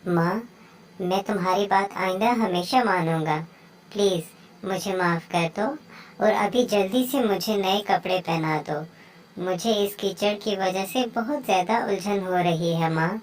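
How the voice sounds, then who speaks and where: A woman's voice: mid-range voice, measured pace, close to an everyday voice, rough recording. A woman voicing an eager, playful young bird child in a children's moral story cartoon. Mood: apologetic.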